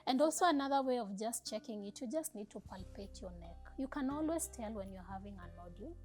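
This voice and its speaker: A woman health professional explaining treatment advice calmly and steadily, repeating her points for emphasis. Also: fairly high pitch, quick pace, faint music behind.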